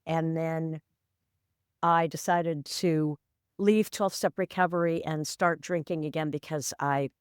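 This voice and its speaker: A woman in her sixties tells her story as a podcast guest. Her voice sits low and sounds smooth and resonant. She speaks in a candid, wry, matter-of-fact way, and the recording is dry and close. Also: steady tone, a little slow, no echo. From San Francisco, USA. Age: 60s. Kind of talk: reflective storytelling interview.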